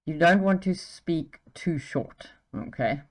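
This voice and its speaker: A woman's voice: deep voice, expressive, slow pace. A woman with a South African accent, giving an exam-tips English lesson in an encouraging way, checking in with "okay" as she goes. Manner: encouraging, uses okay and right often. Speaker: English teacher.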